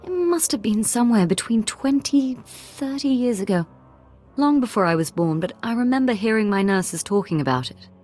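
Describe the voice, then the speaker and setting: Low-voiced scheming noblewoman. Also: a little mellow. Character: scheming, gossip-loving noblewoman political ally. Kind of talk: video game voice acting.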